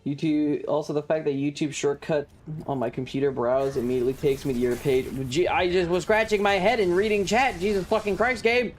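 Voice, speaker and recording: Man, slightly high voice, casual gaming livestream, exasperated, sweary, dramatic, music behind. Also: a little fast. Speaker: streamer. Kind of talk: casual video game livestream commentary, chatting with viewers. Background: video game music.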